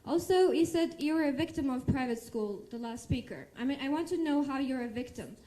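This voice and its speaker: A woman's voice: high-pitched, animated, brisk, dark tone. A young woman, arguing spontaneously as a student debater. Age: young adult.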